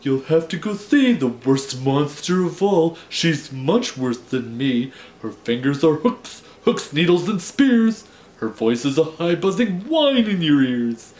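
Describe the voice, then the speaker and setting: A man's voice: a little high, very animated, slow, a little mellow, echoey room, rough recording. Man acting an ominous, dramatic monster boy. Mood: ominous warning. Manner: ominous, shuddering exaggeration. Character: dramatic monster boy, Frankenstein's son, spreading dread.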